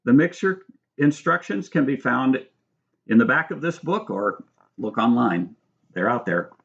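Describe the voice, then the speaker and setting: Middle-aged American male author-narrator, instructive and measured, mid-range voice, slightly lo-fi sound. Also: medium pitch, lively intonation, vocal fry. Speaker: author reading own book. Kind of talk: audiobook-style reading of nonfiction with spoken asides.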